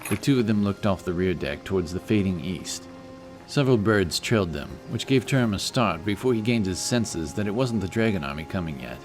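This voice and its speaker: A man's voice: low voice, animated, fairly quick, soft background music. A man reading a scripted fantasy story as an audiobook narrator.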